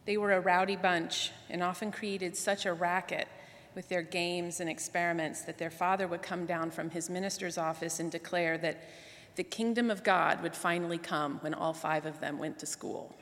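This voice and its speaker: A woman's voice: lowish voice, fairly even intonation, moderate pace, a bit bright. A woman delivering a prepared tribute speech to a congregation. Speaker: guest speaker at church. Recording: echoey hall with long reverb.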